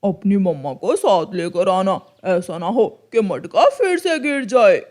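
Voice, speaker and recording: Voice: medium pitch, expressive, measured pace, darkish tone, lightly acted. Sly, emphatic cartoon crow husband, acted out. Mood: insincere. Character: sly male crow husband, scheming thief. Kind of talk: Hindi cartoon dialogue.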